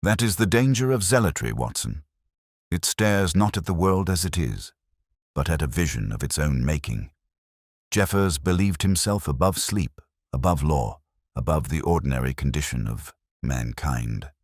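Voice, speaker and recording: A grave, incisive detective from a mystery audiobook, voiced by a man. The voice is extremely low with a husky grain, and it moves expressively through measured, oratorical explanations. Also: expressive, dry recording, studio quality, exaggerated voice. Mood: reflective, solemn.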